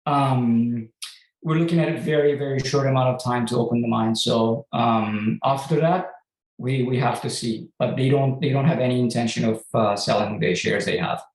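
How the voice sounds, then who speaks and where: A man's voice: mid-range voice, normal speed, a little mellow. A man from Turkey, a company CEO answering conference questions in a hesitant, explanatory way with many restarts. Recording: echoey room.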